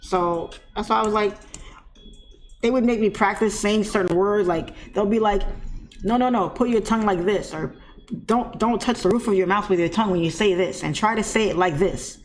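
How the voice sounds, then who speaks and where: A woman's voice: medium pitch, moderate pace, slight background noise. A woman with a lisp chatting casually with viewers during a live trading stream, laughing often and talking back to them.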